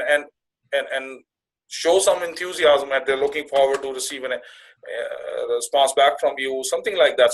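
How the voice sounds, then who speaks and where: Halting man giving an informal walkthrough. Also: medium pitch, fairly bright tone, poor audio. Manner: halting, often repeats and restarts phrases. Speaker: career coach presenting to conference call members.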